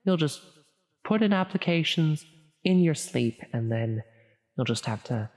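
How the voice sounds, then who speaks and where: A woman playing a flustered, officious mid-level demon in a roleplay audio, halting and stammering as she tries to be helpful. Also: sits low, animated, a little mellow. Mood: reassuring, helpful. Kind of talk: intimate roleplay audio for sleep. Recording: long reverberant hall echo.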